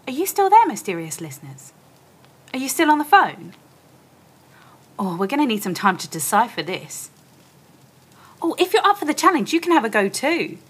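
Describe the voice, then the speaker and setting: A woman co-presenting an interactive audio play, giving listeners instructions in an expressive, direct manner. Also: high voice, normal speed, long pauses, husky.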